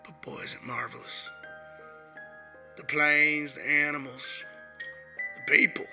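A hoarse man voicing a rough Southern outlaw cowboy, drawling through a rambling diary confession. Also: fairly high pitch, little pitch movement, slow pace, sharp tone, faint music behind, exaggerated voice. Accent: put-on American Southern.

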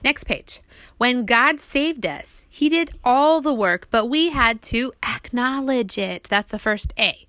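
A woman narrating an audiobook she wrote, pausing to prompt and question as she teaches a child. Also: on the high side, expressive, a bit bright, no echo. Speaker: author reading own book aloud and parent.

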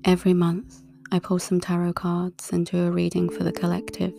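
A woman and tarot reader speaking a calm, soft-spoken monologue in a relaxing, breathy half-whisper. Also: fairly low pitch, a little fast. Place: outdoors in a wooded area. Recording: clear guitar music underneath. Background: guitar music.

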